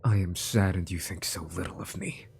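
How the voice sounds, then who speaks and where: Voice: low voice, normal speed, gravelly, a little mellow, slight background noise. An actor playing a menacing, centuries-old sorcerer-scientist in a fantasy audio drama, with a smug, theatrical delivery that slips into whispers. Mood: smug, grandiose.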